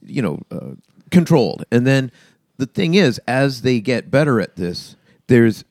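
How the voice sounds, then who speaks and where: A man's voice: medium pitch, very animated, measured pace, a little mellow, no room sound, studio quality. A man interviewing someone in a casual chat, informal and blunt in the way he talks.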